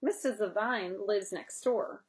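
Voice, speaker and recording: A woman reading a picture book aloud to young children in an expressive way, pausing to explain and chat. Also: medium pitch. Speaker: read-aloud storyteller.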